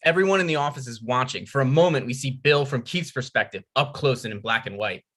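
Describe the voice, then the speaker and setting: A man's voice: medium pitch, quick pace, a bit bright. A man reading out scripted stage directions as narrator at a comedy table read.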